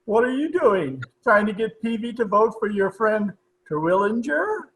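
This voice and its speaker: A man's voice: high voice, big pitch swings, moderate pace, a bit bright, a little hiss or hum, heavily acted, low-quality recording. A man playing a sly, gloating old judge in 1940s radio comedy style, with a theatrical, cackling delivery.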